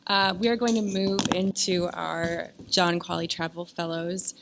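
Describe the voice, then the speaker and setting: A woman introduces the next speakers from a conference stage in a measured tone, with a few fillers. Her voice sits on the lower side, and a faint hum runs under the recording. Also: little pitch movement, a bit bright. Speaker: research director, event presenter.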